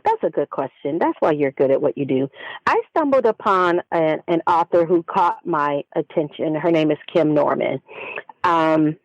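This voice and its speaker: A woman's voice: low voice, no room sound, muffled recording. A woman speaking as an author guest in a conversational interview, reflective and talkative as she explains herself.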